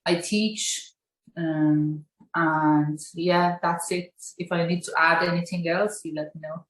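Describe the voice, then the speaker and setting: A woman's voice: low-pitched, level tone, slow. A woman from Iran, a guest artist on a video call, talking casually and hesitantly, with many fillers and non-native phrasing. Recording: echoey room on a video call.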